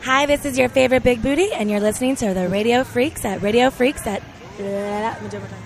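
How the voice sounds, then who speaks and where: A woman's voice: a little high, a little fast. A woman recording a station ID in a flirty, teasing tone.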